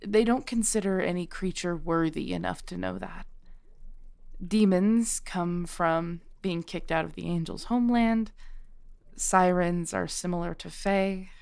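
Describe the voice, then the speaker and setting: A woman playing a kindly modern witch shopkeeper, patient, wry and reassuring, in an intimate scripted audio roleplay, speaking gently as she explains. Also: fairly low pitch, unhurried, gravelly, clear, resonant tone. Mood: patient explaining.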